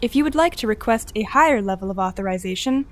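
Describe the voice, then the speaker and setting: A woman voicing an artificial guide system in an audio drama, speaking in a formal, measured, procedural manner. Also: mid-range voice, animated, lightly acted. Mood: calm, formal.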